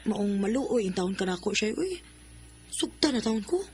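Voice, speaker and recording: A woman's voice: mid-range voice, very animated, moderate pace, darkish tone, a little hiss or hum, close to an everyday voice. A woman playing a lovestruck young tomboy who earnestly pleads in a radio drama. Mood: pleading.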